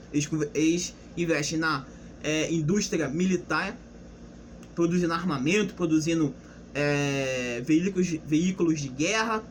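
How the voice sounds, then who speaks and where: A man's voice: a little high, slight background noise. A young man giving a casual, unscripted history lesson, explaining loosely with restarts and rhetorical questions. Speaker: history presenter.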